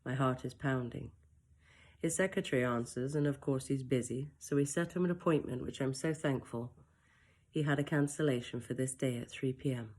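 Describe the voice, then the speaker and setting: A woman reading her own first-person story aloud, in a soft, emotional and reflective way with brief self-mocking asides. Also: a bass voice, moderate pace.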